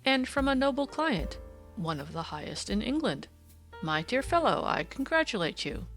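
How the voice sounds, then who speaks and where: A woman voicing a keen, assured Victorian gentleman detective in an audiobook, formal and precise in speech. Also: a little high, sing-song, normal speed, faint music behind, pitched above the performer's own voice. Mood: brisk, pleased. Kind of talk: audiobook character dialogue.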